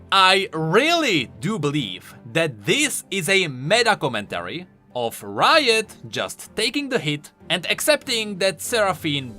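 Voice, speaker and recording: A man's voice: a little high, sharp tone. A man giving scripted, explanatory video commentary on game lore, stressing key words emphatically.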